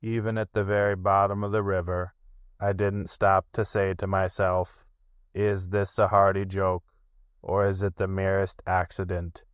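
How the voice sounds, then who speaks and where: A man voicing a gloomy, sulky old male donkey with a slow, heavy, mournful drawl. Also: low-pitched, level tone, smooth, no room sound. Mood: gloomy, put-upon.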